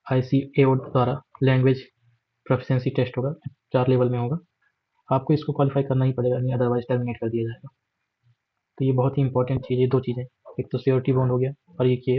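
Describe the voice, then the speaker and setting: A man's voice: mid-range voice, slightly rough. A man with an Indian accent walking through a job notification on screen, explaining steadily with fillers and English words mixed in. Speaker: exam guide presenter. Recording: dull lo-fi voice recording.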